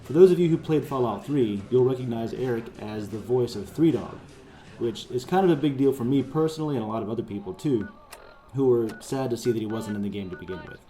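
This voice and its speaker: A man's voice: mid-range voice, fast talker, dark tone, faint music behind, rough recording. A man presenting his own project in casual, conversational promotional narration. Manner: informal, conversational.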